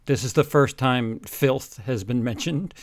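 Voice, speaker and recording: A man's voice: medium pitch, measured pace, no room sound. A man co-hosting in casual comedic banter, bemused and interjecting as he reacts to a reading.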